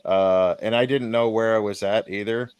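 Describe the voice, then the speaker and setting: A man's voice: on the low side, steady tone, moderate pace, darkish tone, clear, resonant tone, dry recording. A man in his forties from Texas hosting a casual podcast, rambling, laughing easily and swearing. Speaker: podcast host and editor. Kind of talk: casual chat.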